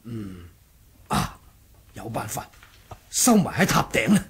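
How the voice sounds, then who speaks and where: A man's voice: medium pitch, sing-song, takes it slowly, gravelly, slightly rough, full character voice. A man with a Hong Kong accent playing a bold, crafty warrior monk in a Cantonese radio drama, teasing and commanding. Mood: scheming.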